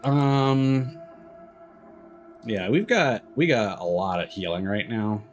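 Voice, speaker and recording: A man's voice: on the low side, expressive, takes it slowly, soft background music. A man giving casual gameplay commentary as a streamer, with joking, irreverent asides. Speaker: video game streamer.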